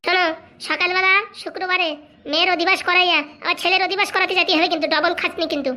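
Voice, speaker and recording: A woman's voice: very high-pitched, steady tone, pushed and bright. A woman voicing a cunning, boastful young male political fixer in a comic cartoon, brash and bossy, with a rural Bengali accent. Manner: brash, bossy, fast-talking.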